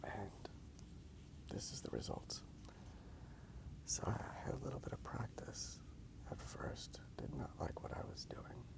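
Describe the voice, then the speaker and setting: A man rambling softly in a breathy ASMR whisper, unhurried and halting as he comments on his drawing. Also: medium pitch. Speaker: host of a drawing ASMR channel.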